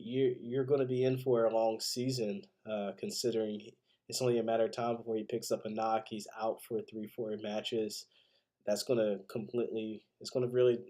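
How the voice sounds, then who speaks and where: A man's voice: medium pitch, dark tone. A man with a congested, head-cold voice hosting a solo sports podcast, giving opinionated commentary in a conversational way. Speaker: podcast host. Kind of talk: solo football commentary and predictions.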